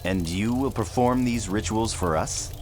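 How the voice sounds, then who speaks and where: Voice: medium pitch, unhurried, darkish tone, slight background noise, close to an everyday voice. An actor playing a wary, questioning champion in a video game cutscene, terse and guarded.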